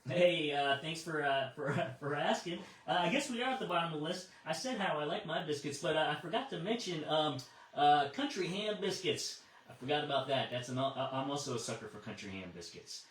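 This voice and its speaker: An American man hosting an amateur radio net in a casual, halting way, repeating words as he thinks. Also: fairly high pitch, expressive, fairly bright tone. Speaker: ham radio net control operator. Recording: echoey, distant radio transmission.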